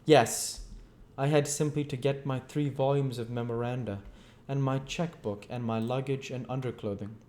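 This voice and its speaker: A man's voice: mid-range voice, steady tone, moderate pace, hardly any pauses, a little mellow, pitched above the performer's own voice, close to an everyday voice. An arrogant and bitter fugitive scientist from a storybook, acted out by a man reading aloud. He declaims with indignant emphasis in a voice pushed upward, always volatile and sure of his own genius. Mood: eager, boastful.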